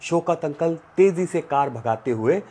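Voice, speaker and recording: Man, children's storyteller, slow delivery, lively swooping pitch, slightly husky, faint music behind. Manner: acts out the characters' dialogue. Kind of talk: Hindi children's story narration.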